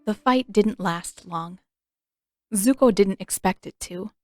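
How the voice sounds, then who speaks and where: A woman's voice: mid-range voice, slow, darkish tone. A woman reading scripted fiction as an audiobook narrator.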